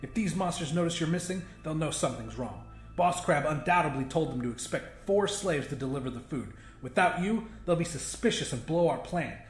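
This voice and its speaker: Brief: male reader as defiant young schemer, earnest, insistent, highish pitch, audiobook fiction. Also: animated, brisk, echoey room, faint music behind, lightly acted. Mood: pleading. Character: defiant, scheming young man, stubborn hero.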